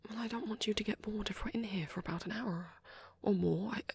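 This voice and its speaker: Man acting a shy, breathy young vampire boy. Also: sits high, full character voice. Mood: flustered, earnest. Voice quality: breathy, soft, often dropping into whispers. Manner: hesitant, halting, rambling, self-correcting. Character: shy young male vampire, rambling enthusiast, apologetic and sweet. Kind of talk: ASMR roleplay, intimate rambling.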